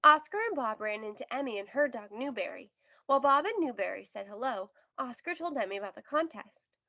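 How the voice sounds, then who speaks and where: Female storybook reader, high register with creak, expressive and careful narration, roomy home recording. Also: fairly quick, highs cut off. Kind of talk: children's read-aloud narration.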